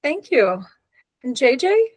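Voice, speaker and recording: A woman with a fairly high voice runs the introductions at a meeting. She is brisk and polite as she calls on each person. The sound is dry and close. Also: big pitch swings, no echo. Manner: brisk, polite, calling on names. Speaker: meeting facilitator and liaison.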